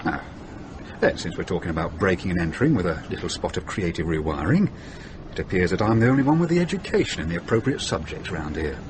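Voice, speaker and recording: An actor playing a worldly, wisecracking middle-aged van driver in a radio drama, blokey and matter-of-fact. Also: male voice, low voice, big pitch swings, fast talker. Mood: decisive, wry. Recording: noisy radio drama with background ambience.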